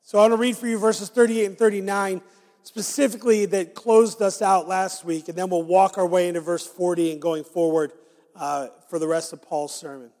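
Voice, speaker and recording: A man preaching a sermon as pastor, his voice raspy and hoarse from a raw throat, talking conversationally with frequent fillers. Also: high voice, steady tone, moderate pace, darkish tone. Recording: long hall reverb.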